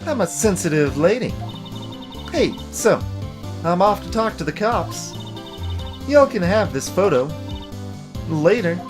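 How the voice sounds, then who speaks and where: Woman playing folksy Southern US woman, game character, sassy, touchy, stubborn, drawling, animated pitch. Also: unhurried, pauses often, over music. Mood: sassy, defiant. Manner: sassy, drawling, colorful country sayings.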